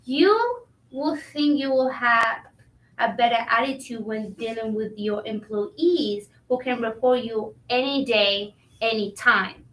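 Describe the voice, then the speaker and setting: A woman's voice: a little high, takes it slowly, fairly bright tone. A woman playing a defiant, outspoken restaurant worker in a staged reading, firm and confrontational. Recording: roomy echo, distant microphone.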